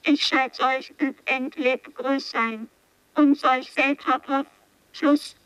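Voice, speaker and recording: A slow, high-voiced dazed girl. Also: steady tone, a bit bright, clean tone, no echo, highs cut off. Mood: dazed, fragmented. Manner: halting, disjointed, fragmentary speech. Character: barely conscious young girl, sole survivor, murmuring incoherent fragments. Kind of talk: experimental radio play monologue.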